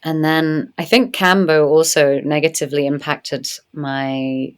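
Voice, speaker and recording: A woman speaking as a guest in an interview, reflective and earnest, growing animated when she tells stories. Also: low voice, a little slow. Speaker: podcast guest, medicine woman. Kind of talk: conversational interview.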